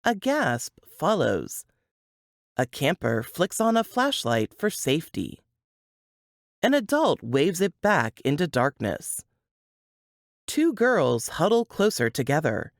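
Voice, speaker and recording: A woman reading a suspense novel as an audiobook narrator, measured and ominous. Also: sits low, big pitch swings, no room sound, clean studio sound.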